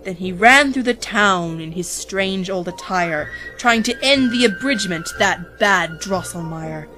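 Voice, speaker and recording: A woman reads a rhyming parody storybook as its narrator, slowly and theatrically. She is playful and dramatic, leaning on key words, with music underneath. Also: mid-range voice, lively intonation, pushed and bright, poor audio. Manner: dramatic, playful, drawn-out emphasis on repeated words.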